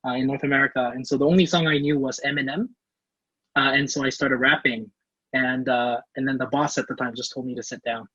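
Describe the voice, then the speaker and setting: A man's voice: medium pitch, steady tone, a little fast, fairly bright tone, husky, no echo, highs cut off. A man in his thirties from Canada, a portfolio manager giving an online career class, friendly and eager, with many fillers and restarts. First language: English.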